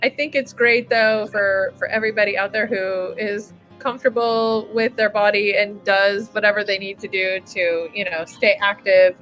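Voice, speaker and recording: A woman's voice: mid-range voice, steady tone, normal speed, few pauses, a bit bright, faint music behind, low-quality recording. A woman hosting a webinar in casual chat over a video call, bubbly and laughing often. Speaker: webinar host.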